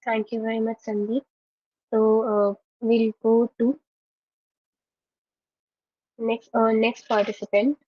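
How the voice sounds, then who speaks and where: A woman with an Indian English accent moderating a discussion, brisk and procedural. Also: a little high, flat delivery, a little mellow, no room sound. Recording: dry, dull lo-fi sound.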